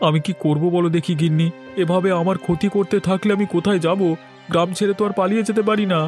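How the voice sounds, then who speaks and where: A man's voice: high voice, fairly even intonation, quick pace, faint music behind, natural voice. A man voicing an anxious, plaintive village husband in a cartoon story. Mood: wailing complaint. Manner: plaintive, imploring. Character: anxious, pleading village husband, childless chicken farmer.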